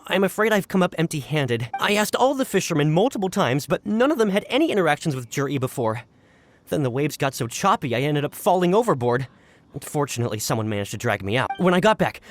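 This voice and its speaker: A man's voice: a little high, sing-song, fairly quick, no room sound. A man voicing an anxious, deferential agent in an anime game, earnest, flustered and emphatic. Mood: apologetic. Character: anxious, deferential young male subordinate agent.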